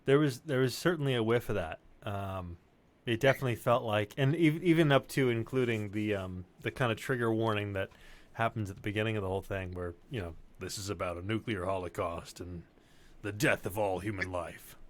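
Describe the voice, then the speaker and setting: A man's voice: fairly low pitch, fairly quick. A man chatting casually as a panelist on a group video call, exclamatory and given to swearing. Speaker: interview show panelist. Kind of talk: casual chat.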